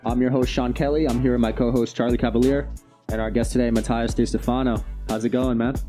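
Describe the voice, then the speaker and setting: A man hosting a podcast interview, asking short questions and keeping up a steady stream of mm-hmms while his guest talks. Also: mid-range voice, fast, faint music behind. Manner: asks short questions, constant mm backchannel. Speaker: podcast host. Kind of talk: casual interview.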